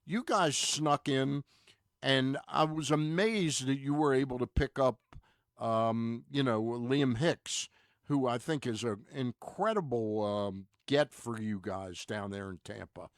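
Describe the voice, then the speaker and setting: A man with a medium-pitched voice hosts a casual sports interview. His questions come haltingly, with repeats. The sound is very dry, with no room to it. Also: dry recording. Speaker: sports talk show host.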